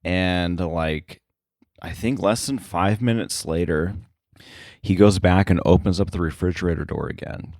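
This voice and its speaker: A man chatting casually as an interview guest, halting and thinking aloud as he explains. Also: low voice, a little mellow, dry recording, clean studio sound. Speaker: podcast guest, sound editor.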